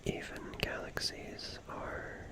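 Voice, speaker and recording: Slow, whispering ASMR voice. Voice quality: soft, breathy unvoiced whisper. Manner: slow, reflective, with long pauses. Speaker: ASMR creator.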